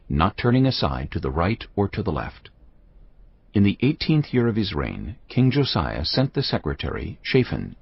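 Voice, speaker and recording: A man narrating a dramatised scripture reading in a measured, solemn storytelling manner. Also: sits low, vocal fry, slightly rough. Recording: slightly dull audio with music bed.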